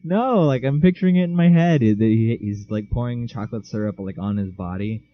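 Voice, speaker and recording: A man's voice: fairly low pitch, fairly quick, dull audio. A teenage boy co-hosting a casual podcast, joking and teasing his friend while telling stories. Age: teens. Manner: joking, teasing, tells stories with big laughs. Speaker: podcast co-host. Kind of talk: casual chat.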